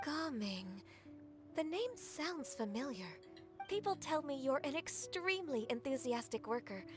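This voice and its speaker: A polite young woman in a video game who sounds gentle and drowsy. Her voice is high, and soft game music plays behind it. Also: very animated, measured pace. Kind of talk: video game dialogue acting.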